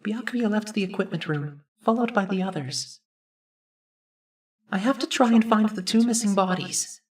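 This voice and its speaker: A woman's voice: medium pitch, lively intonation, normal speed, distant mic, natural voice. A woman voicing an earnest, uneasy teenage boy student in a pensive, hesitant inner monologue for an anime game fan dub. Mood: pensive, worried.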